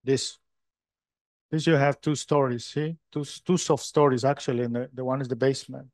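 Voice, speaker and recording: A man from Chile with a Chilean Spanish accent, answering audience questions after a lecture, halting and restarting often. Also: mid-range voice, steady tone, darkish tone, dry recording. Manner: halting, many restarts, non-native phrasing. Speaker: lecturer in structural design.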